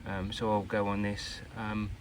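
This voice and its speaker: A British man giving a casual hands-on product review in an informal, halting way. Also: lowish voice, monotone, noisy background.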